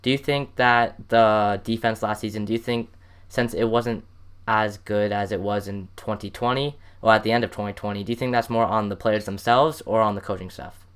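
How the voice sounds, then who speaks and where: Sports host: American male, slightly low and very smooth, casual interview chat with little melodic movement. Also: steady tone, moderate pace.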